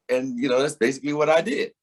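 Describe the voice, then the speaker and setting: Middle-aged guy with a husky voice, laid-back storytelling, laughs now and then, stumbles over first words. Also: mid-range voice. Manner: reflective, storytelling, laughs often, repeats words when starting. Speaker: singer and interview guest. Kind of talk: casual conversational interview.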